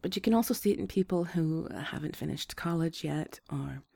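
A woman with a rough voice, hoarse from a flu, hosting a gentle and reassuring advice talk to her listeners. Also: low voice, animated, moderate pace, dry recording, studio quality. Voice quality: rough, slightly congested voice. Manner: gentle, reassuring, restarts phrases. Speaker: advice show host.

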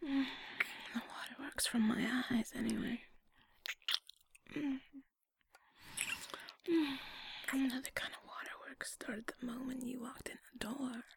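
A woman in an intimate audio roleplay, speaking close to the mic in soft, breathy whispers and sighs. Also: sits high, fairly even intonation, unhurried, pauses often, no room sound.

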